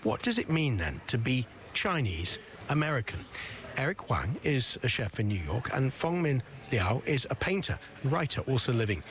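Male news presenter, measured, formal, mid pitch. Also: very animated, fairly bright tone. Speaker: radio interviewer. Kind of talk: news interview hosting.

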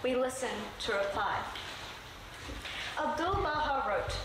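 A teenage girl, giving a prepared student speech in a measured, deliberate, poetic way. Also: sits high, lively intonation. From New Zealand. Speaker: student speaker. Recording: very echoey hall with background noise.

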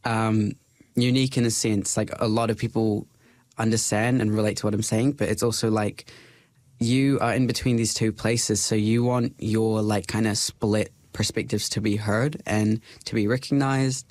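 A young New Zealand man speaks in a low voice, relaxed and thoughtful, with plenty of fillers. He is a podcast guest, and the recording is dry and close. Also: moderate pace, no echo. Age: young adult. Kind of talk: casual interview chat.

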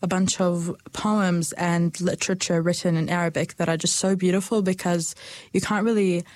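A young woman, speaking earnestly and thoughtfully about her heritage, with small pauses and restarts. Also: on the low side, little pitch movement, a little mellow, dry recording. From New Zealand. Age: young adult. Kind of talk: personal reflection.

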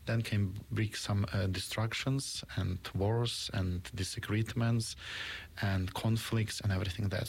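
Husky, gravelly low-voiced man from Ukraine. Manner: halting, searching for words, earnest. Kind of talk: conversational interview.

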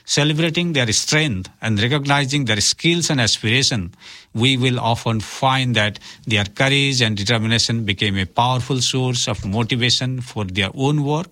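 A man with Nepali as his native language speaks as an interview guest at a middling pitch. His phrasing is formal and deliberate, and he comes across as earnest. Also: bright, forceful tone. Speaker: interview guest, community worker and officiant for migrants and refugees.

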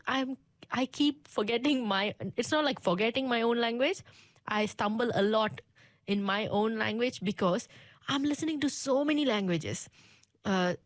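A woman's voice: on the high side, no echo. A woman hosting a casual conversation, who immigrated to New Zealand and talks enthusiastically with lots of "like" and "I mean". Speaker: host of the open day.

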